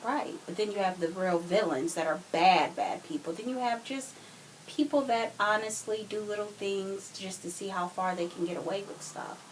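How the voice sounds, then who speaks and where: A woman's voice: medium pitch, normal speed, poor audio. A woman giving her opinions casually as a podcast guest, on a hissy home recording.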